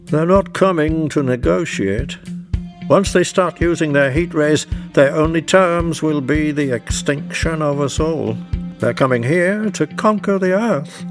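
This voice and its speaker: Man voicing an urgent, high-pitched astronomer. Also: measured pace, over music. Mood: alarmed, grave. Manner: emphatic, foreboding explanations. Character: anxious, urgent male Edwardian astronomer, intense and grave. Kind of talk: audio drama character acting.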